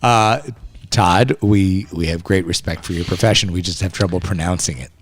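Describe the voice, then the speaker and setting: A man's voice: deep voice, moderate pace, a little hiss or hum. A man hosting a podcast, talking emphatically and at length in a casual chat. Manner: emphatic, talkative, with many restarts. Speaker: podcast host.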